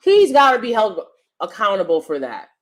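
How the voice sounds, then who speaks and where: Loud, emphatic woman commentator. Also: medium pitch, wildly swooping pitch, normal speed. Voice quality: loud, projecting stage voice. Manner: emphatic, swings between loud and soft asides. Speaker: commentary vlogger. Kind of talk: opinion commentary to camera.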